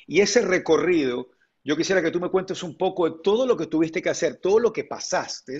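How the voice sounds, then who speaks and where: A middle-aged man hosts an interview over a video call, his voice a touch high and husky. He asks long, earnest questions. The sound is dull and lo-fi through earbuds.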